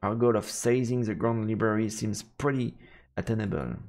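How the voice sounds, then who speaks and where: Low, creaky-voiced man narrating a story. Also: fairly even intonation, moderate pace, a little mellow. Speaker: streamer reading a visual novel aloud. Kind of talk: dramatic narration of visual novel text.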